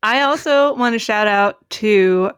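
Female co-host, wry and articulate, medium pitch, casual conversation, dry studio-like sound. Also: unhurried, smooth, dry recording. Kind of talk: casual chat.

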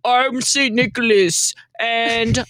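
A woman's voice: fairly high pitch, fairly even intonation, takes it slowly, very noisy. A woman co-hosting a podcast, switching between light scripted skits and casual banter. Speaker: podcast co-host.

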